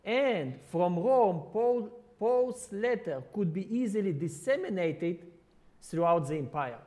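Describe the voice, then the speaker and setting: A man preaching in careful but halting English, his showing in his phrasing. He often restarts words and reaches for the right expression. His voice sits a touch higher than most men's. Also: animated, mellow. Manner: halting non-native English with many restarts. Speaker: ministry worker. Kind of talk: sermon.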